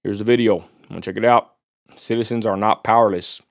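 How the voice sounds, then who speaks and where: A man's voice: lowish voice, steady tone, fairly quick, gravelly. Blunt, profane West Texas man ranting. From Texas, USA. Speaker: livestream host. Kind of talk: casual ranting livestream commentary.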